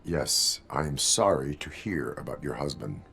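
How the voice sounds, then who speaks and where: An actor playing a stern, emotionless cyborg police officer in a video game, speaking stiffly and formally. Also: male voice, extremely low pitch, expressive, measured pace, gravelly, bright, forceful tone, full character voice. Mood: subdued.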